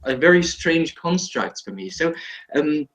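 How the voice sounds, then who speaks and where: Guy interviewing someone, kinda high voice, stumbles and repeats words a lot while asking. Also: expressive, a bit bright, poor audio. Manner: halting, repeats words while forming questions. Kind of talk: casual conversational interview.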